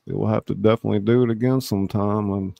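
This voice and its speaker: Male host, low mellow voice, dry sound, folksy and laid-back casual talk. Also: steady tone, fast talker, vocal fry, no room sound. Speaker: show host and writer. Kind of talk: casual chat.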